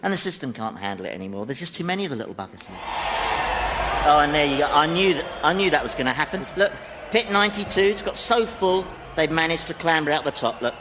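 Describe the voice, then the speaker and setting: An actor playing an exasperated, sardonic Satan in a comedy radio drama, grumbling and swearing in a British accent. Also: male voice, fairly high pitch, lively intonation, fast talker, background noise, natural voice. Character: exasperated, sardonic Satan, weary ruler of hell.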